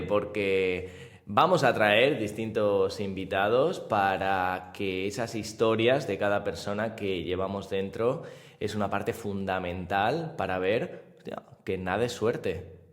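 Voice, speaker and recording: A man from Mallorca with a Spanish accent, hosting an interview in a casual, drawn-out way with mild swearing. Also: on the low side, in a large hall. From Mallorca, Spain. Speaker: podcast host. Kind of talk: conversational interview.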